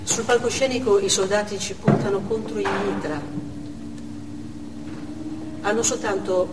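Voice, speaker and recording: Actress playing a shaken female witness, theatrical storytelling, deliberate pauses, far-off echoing hall, background noise. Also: mid-range voice, fairly even intonation, lightly acted. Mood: tense, dread. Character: shaken woman survivor recounting a theatre hostage siege. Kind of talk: theatrical monologue, first-person narration on stage. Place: theatre stage, distant microphone.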